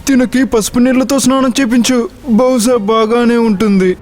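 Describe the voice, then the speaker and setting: A man playing an anxious, pleading father of a bride in an animated moral story. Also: very high-pitched, brisk. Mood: worried. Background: faint music.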